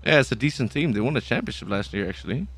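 A man's voice: medium pitch, lively intonation, rapid-fire, fairly bright tone, husky. A man making awkward small talk on an improvised, in-character phone call in a role-play game. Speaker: gamer playing a biker role-play character.

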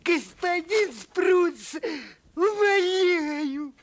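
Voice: very high voice, lively intonation, drawn-out words, a little hiss or hum, heavily acted. A performer voicing a servile, spiteful male newspaper editor in a cartoon, melodramatic and obsequious. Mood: grovelling, panicked. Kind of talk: animated film acting.

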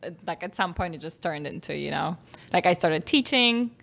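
Animated Polish-accented woman. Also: on the low side, normal speed, vocal fry. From Poland. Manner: laughs often, acts out quotes with animated voices. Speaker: guest actor. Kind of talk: casual interview chat. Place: small home podcast studio, indoors. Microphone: boom mic, headphones.

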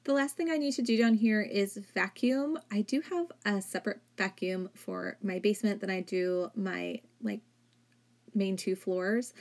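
A woman in her thirties narrates her home cleaning in a relaxed, chatty voice-over, sprinkling "like" through her sentences. Her voice sits at a middle pitch and often settles into a gravelly fry as phrases trail off. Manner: chatty, filler-heavy with frequent "like". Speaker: cleaning vlogger. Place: home, indoors.

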